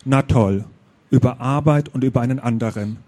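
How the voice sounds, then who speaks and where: Man reading pushy know-it-all friend, excited, bossy, higher-pitched, mellow. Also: normal speed, natural voice. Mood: eager.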